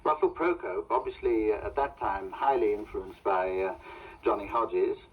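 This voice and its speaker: A man's voice: fairly low pitch, moderate pace, slightly rough. Muffled, tinny old tape of male presenter. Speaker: jazz radio presenter. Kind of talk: music commentary.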